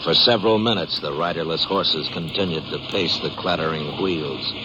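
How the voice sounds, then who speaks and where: A man's voice: extremely low pitch, normal speed, heavy background noise. An American man narrating a 1950s radio Western in a scripted, dramatic storytelling style. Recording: dull 1950s radio recording.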